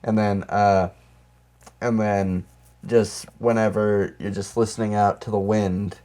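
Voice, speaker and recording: A man's voice: fairly low pitch, little pitch movement, takes it slowly, warm tone. A man co-hosting a podcast, chatting casually about movies and joking around with riffs. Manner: joking, riffing with mock quotes. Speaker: podcast co-host.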